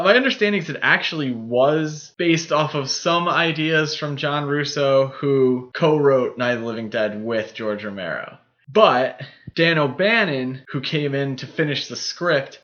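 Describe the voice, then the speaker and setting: A man's voice: medium pitch, fairly bright tone. Man chatting on a slightly dull podcast recording. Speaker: podcast co-host. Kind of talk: casual film discussion.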